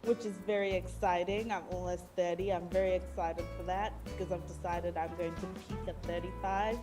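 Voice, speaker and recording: A woman's voice: fairly low pitch, steady tone, a little fast. A woman, a guest poet chatting casually, self-deprecating and giggly. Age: 20s. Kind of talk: casual interview chat. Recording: music clearly behind.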